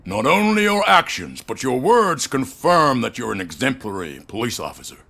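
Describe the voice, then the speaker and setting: An elderly, courteous corporate chief in a game drama. His voice is bright and creaky, and he speaks slowly with wide swings of pitch. He sounds formal, weary and quietly in control. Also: big pitch swings, exaggerated voice. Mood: measured, probing. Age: older. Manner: measured, formal, patrician. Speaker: company head. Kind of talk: video game dialogue acting.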